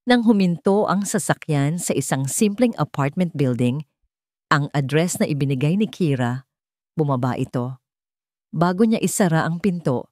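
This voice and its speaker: A woman narrating a scripted romance story in a measured, dramatic way with deliberate pauses. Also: sits low, mellow, no room sound, clean studio sound.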